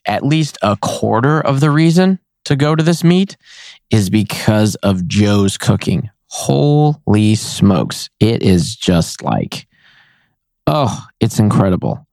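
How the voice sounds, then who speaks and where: Slightly hoarse, excitable man podcasting. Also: fairly low pitch, no echo, studio quality. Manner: enthusiastic, rambling, laughs often. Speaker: podcast host. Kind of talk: casual solo podcast recap.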